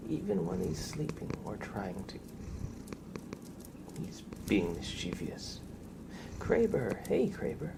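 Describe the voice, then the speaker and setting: A man livestreaming ASMR, chatting softly and playfully and often dropping into whispers. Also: on the low side, gravelly, heavy background noise. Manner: playful, mock-serious, doting talk about and to a pet. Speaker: ASMR live streamer.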